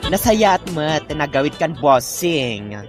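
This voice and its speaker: A man's voice: fairly high pitch, big pitch swings, slow, loud music behind the voice. A man with an Ilocano accent playing a sassy, grumbling parlor employee in a comedy drama. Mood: complaining.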